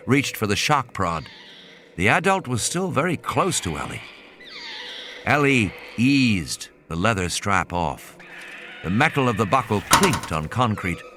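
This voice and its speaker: A man's voice: fairly low pitch, sharp tone, a little hiss or hum. A man reading scripted dramatic narration as an audiobook narrator.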